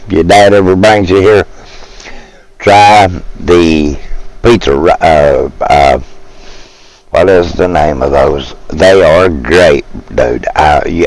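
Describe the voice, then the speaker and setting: A man from Virginia, chatting casually with live viewers, rambling and halting as he searches for words. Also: deep voice, no echo, poor audio. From West Virginia. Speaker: streamer.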